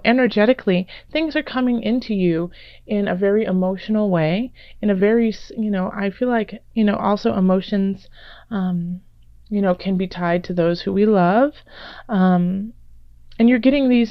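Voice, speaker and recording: A woman reading tarot cards in a casual, rambling way, her voice raspy and a little hoarse from a recent illness, with many fillers and restarts. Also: medium pitch, no echo, muffled recording. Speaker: tarot reader.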